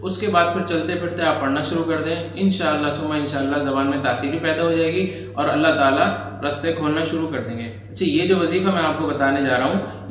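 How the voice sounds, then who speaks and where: A man's voice: a little high, fairly bright tone, slight background noise. Man teaching devotions in heavy echoing reverb. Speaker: religious teacher, channel host. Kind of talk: devotional instruction to camera or audience. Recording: heavy echo with long hall reverb.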